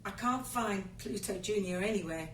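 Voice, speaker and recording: An actress playing a long-suffering British wife in a radio drama, speaking in a theatrical, deliberate manner. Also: female voice, medium pitch, close to an everyday voice. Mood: bitter, resolved. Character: long-suffering, fed-up London wife of a failing photographer. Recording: distant, echoey, faint background noise.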